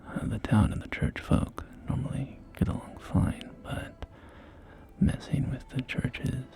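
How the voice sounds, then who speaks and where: A man playing a folksy post-apocalyptic real estate agent in a whispered ASMR roleplay, with gentle, hesitant, reassuring sales patter. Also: mid-range voice, fairly even intonation, a little slow, creaky. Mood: calmly reassuring. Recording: close whisper over soft music.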